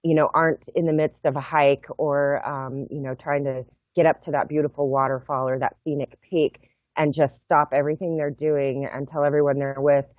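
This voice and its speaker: A middle-aged woman, a guest on a video call from home, explaining her project in a measured, thoughtful way with frequent pauses. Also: low voice, flat delivery, dry recording. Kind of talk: explaining in an interview. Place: home room. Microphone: laptop webcam mic on video call. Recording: tinny phone-like video call audio, dry.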